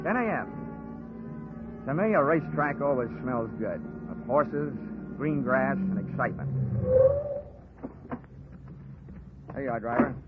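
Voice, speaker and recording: An actor playing a dry, wisecracking hard-boiled investigator in a 1940s radio drama. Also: male voice, a little high, lightly acted. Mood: brisk narrating. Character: wisecracking hard-boiled insurance investigator, narrating his case. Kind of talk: 1940s radio acting, narration and dialogue. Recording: tinny 1940s radio recording with music.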